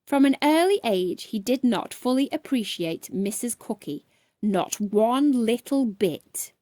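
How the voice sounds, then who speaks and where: A woman reads a children's storybook aloud, expressively and with dramatic, deliberate emphasis. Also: a little high, lively intonation, dark tone, no room sound. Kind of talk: expressive bedtime story narration.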